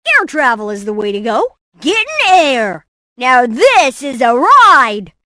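A woman voicing a brainy, cocky, excitable boy inventor in cartoon game clips, with a theatrical, punchy delivery. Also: sits high, slow pace, exaggerated voice. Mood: bold, confident. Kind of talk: animated game voice clips, short exclamations and catchphrases.

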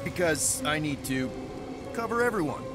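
A man's voice: fairly high pitch, animated, normal speed, over music, close to an everyday voice. A man playing a cocky, upbeat young space adventurer in a video game, with a breezy, joking manner. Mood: casual bravado.

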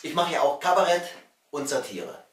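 A man's voice: on the high side, expressive, moderate pace, fairly bright tone. A middle-aged man speaking to camera in a jokey, conversational comedy monologue, recorded in an echoey room at home. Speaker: comedian and cabaret artist. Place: small rooms of a flat, indoors.

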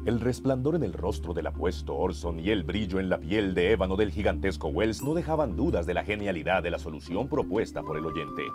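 A man's voice: low voice, animated, a little fast, hardly any pauses. A Colombian man playing a pompous, ominous narrator of a detective radio serial, close on a studio mic. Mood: suspenseful. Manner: pompous, suspenseful. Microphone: close studio broadcast mic. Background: music.

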